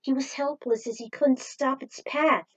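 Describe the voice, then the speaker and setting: A woman's voice: sits high, fairly even intonation, a little slow. A woman reading fan fiction aloud as a narrator in an amateur storytelling style.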